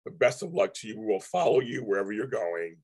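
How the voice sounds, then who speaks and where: A man talks casually to his live-stream viewers, his voice hoarse and husky. He rambles, repeats himself and restarts his sentences often. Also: lowish voice, big pitch swings, fast. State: hoarse, voice going out. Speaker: livestream host.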